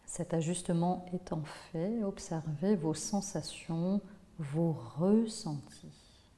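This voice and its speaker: A woman teaching yoga nidra, guiding a relaxation in a measured, instructive way with pauses between phrases. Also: lowish voice, warm tone. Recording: long hall-like reverb on voice.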